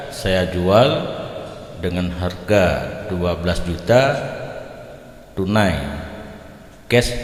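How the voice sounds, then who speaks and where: Older Acehnese man, low voice, echoing hall. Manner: informal, explanatory, switches between languages. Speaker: Islamic scholar and teacher. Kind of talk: religious lecture answering audience questions. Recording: echoey hall with long reverb.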